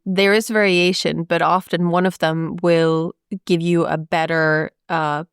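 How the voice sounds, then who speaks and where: A woman's voice: fairly low pitch, flat delivery, unhurried, clean tone, no room sound, studio quality. A woman whose first language is Swedish, co-hosting a podcast in chatty, playful conversation. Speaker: podcast co-host. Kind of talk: casual conversation.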